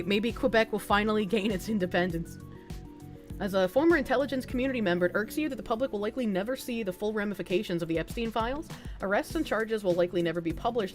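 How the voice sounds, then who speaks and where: A woman's voice: mid-range voice, quick pace, soft background music. A woman with a mild Boston accent, chatting casually on a livestream, reading donations and answering chat in a rambling, frank, profane way with frequent restarts.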